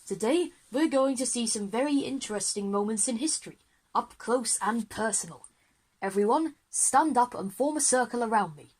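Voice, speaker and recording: A woman's voice: a little high, expressive, normal speed, husky, poor audio. A woman playing an enthusiastic, easily flustered male history teacher in a children's audio drama, with an eager, wordy lecturing manner.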